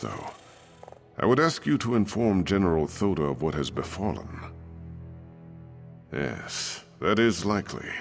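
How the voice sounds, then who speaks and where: Very deep, gravelly aging king. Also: exaggerated voice. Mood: grave, welcoming. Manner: measured, formal, regal. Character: grave, weary, aging desert king, formal and troubled. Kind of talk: scripted video game dialogue.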